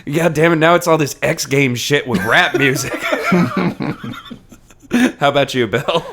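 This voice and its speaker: A man's voice: fairly high pitch, unhurried, crowd noise. A man co-hosting a podcast in casual, joking conversation, informal and free with swearing. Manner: jokey, informal, swears freely. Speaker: podcast co-host. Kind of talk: casual chat, comedic book discussion.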